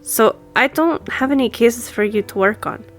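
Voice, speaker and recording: A woman's voice: on the high side, lively intonation, moderate pace, soft background music. A woman playing a self-assured, friendly law firm boss in an audio roleplay, talking to the listener in a composed, personable way. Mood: friendly, explanatory.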